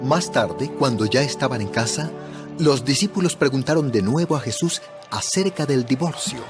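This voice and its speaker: A male narrator tells a scripted Bible story for an audio drama. His medium-pitched voice is measured and storytelling in manner. Music is clearly audible behind him. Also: lively intonation.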